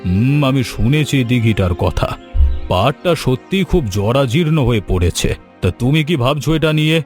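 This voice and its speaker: Guy voicing calm kind fish trader, lower voice, steady and reassuring, cartoon. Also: soft background music, lightly acted. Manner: measured, gentle and reassuring. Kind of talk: cartoon voice acting.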